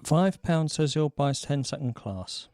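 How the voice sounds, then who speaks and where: A British actor playing an earnest, slightly odd office colleague in a comedy audio drama, eager and literal in manner. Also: male voice, a little high, animated, unhurried, a little mellow, dry recording, clean studio sound. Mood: excited, playful.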